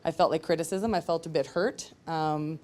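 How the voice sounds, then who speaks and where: A woman's voice: low-pitched, fairly even intonation, normal speed, darkish tone. A woman telling a personal story to an audience in a candid, conversational, self-reflective way. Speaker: speaker at a live storytelling show.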